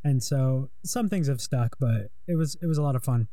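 A man's voice: mid-range voice, normal speed, dark tone, no room sound. A young man in casual conversation, talking in a rambling, hesitant way with many fillers while interviewing a guest.

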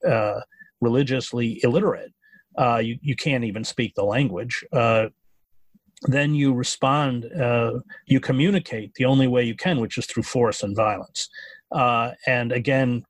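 A man speaking as a podcast guest, measured and earnest, with many fillers and restarts. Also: medium pitch, no echo. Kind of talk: thoughtful political interview.

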